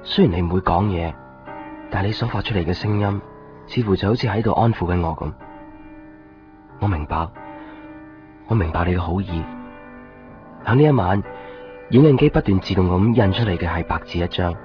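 A man's voice: low-pitched, normal speed, pauses often, close to an everyday voice. An actor playing a brooding, lonely young man in a Cantonese radio drama from 1990s Hong Kong, with a Hong Kong accent. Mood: wistful, tender. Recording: dull 1990s radio recording, music underneath.

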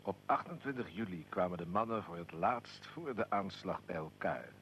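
A male narrator reads a historical account from a script. His voice is low with animated intonation, over a faintly noisy, rumbling recording. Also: moderate pace.

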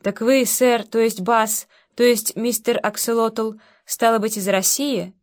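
A woman's voice: fairly high pitch, steady tone, unhurried, natural voice. A woman voicing a shy, polite young British man who speaks hesitantly and deferentially. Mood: flustered.

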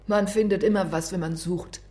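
A woman's voice: on the low side, steady tone, moderate pace, dark tone, natural voice. An actress playing a fussy older mother who chatters about chores and talks past her grown daughter in a radio play. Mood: chattering.

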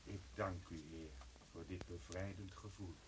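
Deep, sanctimonious priest. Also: male voice, fairly even intonation, unhurried. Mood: unctuous. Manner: solemn, unctuous, pompous. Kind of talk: radio play, preaching. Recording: noisy old radio recording.

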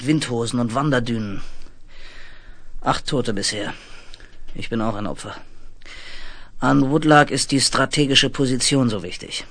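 A man's voice: mid-range voice, fairly bright tone, lightly acted. A man in a 1970s German radio play, playing a stern, fatalistic older colony commander who gives clipped orders. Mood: grim, resolute.

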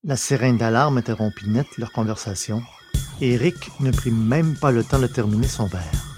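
A man's voice: medium pitch, normal speed. Male story narrator over music.

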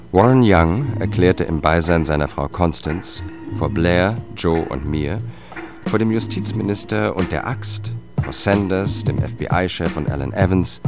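An actor playing a cool, relentless investigator who narrates his own case in a measured radio-drama style. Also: deep voice. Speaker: first-person narrator.

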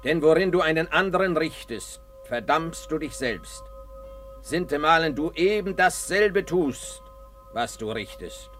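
Slow, solemn preacher. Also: male voice, on the high side, animated, fairly bright tone, faint music behind. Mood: solemn, admonishing. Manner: declamatory, severe. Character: stern, solemn preacher reciting scripture. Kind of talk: sermon-like radio play recitation.

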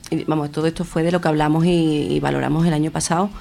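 A woman's voice: low voice, steady tone, quick pace, gravelly, dark tone. A woman with a Spanish accent from Spain answering casually and colloquially as a guest in a radio interview. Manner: informal, colloquial. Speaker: interview guest, feminist festival organiser.

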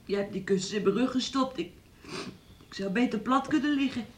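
A woman's voice: a little high, fairly quick, a little hiss or hum, lightly acted. An actress playing a sick, bedridden young woman in a radio play, plaintive and pleading with her fiancé. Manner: plaintive, speaks of herself in third person.

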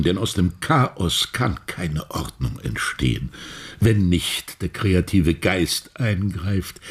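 An actor playing an elderly, philosophical and evasive science professor in a radio play, husky and deliberate in delivery. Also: male voice, low voice, full character voice. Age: older.

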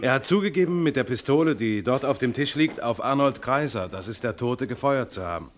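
An actor playing a self-assured police detective in an old German radio crime play, explaining things matter-of-factly. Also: male voice, medium pitch, animated, a little fast, lightly acted. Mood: businesslike.

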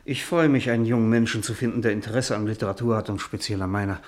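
A man plays a world-weary novelist of middle years in a radio drama. His voice sits fairly low, and he speaks in a cultured, hesitant manner with proud, self-pitying irony. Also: fairly even intonation, quick pace, natural voice. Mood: courteous, wry. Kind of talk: German radio play acting.

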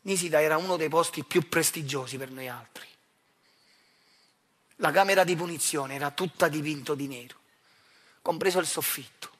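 A man with a Neapolitan accent performing a theatrical monologue, speaking straight to the audience in a measured, intense way. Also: on the high side, fairly bright tone, breathy edge. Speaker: stage actor.

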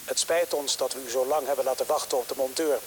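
An actor playing a polite, impersonal control-room official in a radio drama, speaking formally and courteously. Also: male voice, medium pitch, fairly even intonation, fast talker, lightly acted. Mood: official, insistent. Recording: hissy noisy intercom-like sound.

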